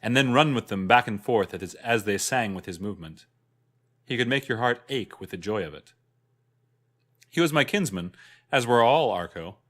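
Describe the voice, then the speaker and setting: A man voicing an ageless, wistful immortal who tells a first-person fantasy tale in a solemn, measured way. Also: fairly low pitch, a bit bright. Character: ageless, wistful immortal recounting an old jealous friendship.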